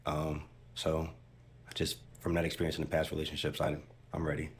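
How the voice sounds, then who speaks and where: A man's voice: very deep voice, monotone, a little fast, slight background noise. A man in his thirties answering questions about himself as a dating show contestant. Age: 30s.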